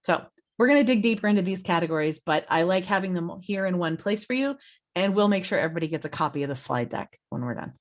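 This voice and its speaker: A woman's voice: on the low side, animated, fast. A woman giving an explanatory slide presentation as a webinar presenter, in a conversational way. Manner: conversational, explanatory, checks in with "right?". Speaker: webinar presenter, program expert.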